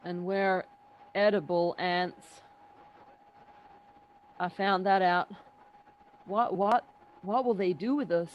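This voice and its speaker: A woman playing a weary, haunted survivor in a radio play reading, speaking haltingly and deliberately. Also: on the low side, fairly even intonation, dull audio, slight background noise. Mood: anxious. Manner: halting, deliberate, repeats words. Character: weary, haunted survivor wandering a ruined land. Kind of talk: radio play reading, dramatic first-person narration.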